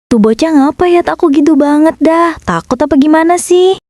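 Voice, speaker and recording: Cartoon creep, sinister and muttering, a little high, dry, played by a woman. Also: normal speed, a little mellow, dry recording, natural voice. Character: creepy adult stranger eyeing a lost child. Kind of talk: cartoon voice acting.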